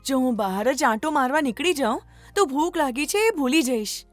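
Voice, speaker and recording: Lady doing a sweet innocent little cartoon girl, really high voice, earnest, music behind. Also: expressive, normal speed, no room sound. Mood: weary, hungry. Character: kind, innocent, poor young girl. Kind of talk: children's cartoon story acting.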